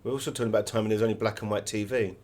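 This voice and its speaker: A man's voice: on the low side, steady tone, rapid-fire, darkish tone. A man giving conversational, anecdotal answers as an author being interviewed.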